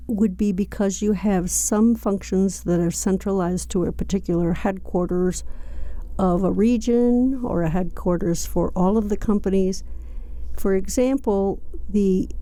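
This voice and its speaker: A woman giving an unscripted, step-by-step software walkthrough in a methodical, explanatory manner. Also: medium pitch. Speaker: software tutorial presenter.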